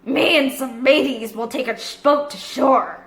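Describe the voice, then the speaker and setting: A roguish, scheming pirate man, put on by a woman narrator, growling and drawing out his words with bold swagger. The storybook voice is forceful and bright, in a slightly echoey room. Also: high-pitched, measured pace, gravelly, full character voice. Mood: scheming, gruff. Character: roguish one-legged male pirate cook, bold and scheming.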